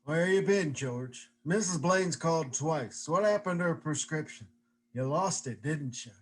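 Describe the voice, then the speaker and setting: A man's voice: a little high, animated, a little slow. A man playing a grieving, drunken, bullying druggist in a radio play, with a slurred, menacing way of talking. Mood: accusing. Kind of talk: old-time radio play acting.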